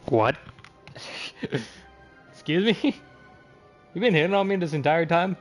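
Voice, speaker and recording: A man doing casual, profane live game commentary as a streamer, often sounding confused and reacting out loud. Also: fairly high pitch, expressive, slow, faint music behind. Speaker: video game livestreamer.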